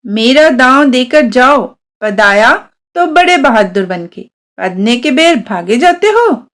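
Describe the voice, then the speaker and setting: A woman's voice: on the high side, very animated, slow pace, fairly bright tone, exaggerated voice. A woman storyteller voicing a stubborn, bossy village boy, insistent and commanding. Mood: stubborn, demanding.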